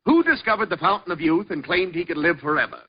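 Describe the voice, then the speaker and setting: A hammy chuckling quiz host. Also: male voice, a little high, lively intonation, fast talker, breathy edge, muffled recording. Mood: jovial. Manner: jovial, showy, chuckling. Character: effusive hammy male quiz show host. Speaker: quiz show master of ceremonies. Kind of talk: 1940s radio comedy acting. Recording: hissy old radio broadcast recording.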